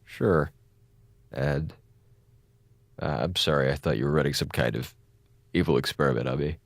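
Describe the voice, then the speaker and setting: A man's voice: extremely low pitch, normal speed, creaky, dry recording, heavily acted. An actor playing a wry, jittery male space station officer in a radio drama, rambling informally and stammering. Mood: sheepish, wry.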